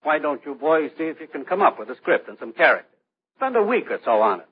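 A man playing a genial but firm station boss in an old-time radio drama, businesslike and measured. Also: fairly high pitch, sing-song, a little hiss or hum. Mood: hesitant, agreeable. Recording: tinny vintage radio recording.

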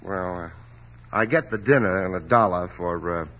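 An actor playing a hard-up stage actor in a 1940s radio drama, talking bashfully with stammers and hesitations. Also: male voice, deep voice, normal speed, fairly bright tone. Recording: dull lo-fi old radio recording.

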